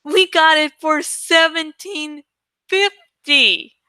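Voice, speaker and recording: A brash teenage girl in a storybook, acted out by a woman reader. Her voice is high and bright, close and dry. She boasts with crowing emphasis. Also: takes it slowly, no echo, exaggerated voice. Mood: triumphant.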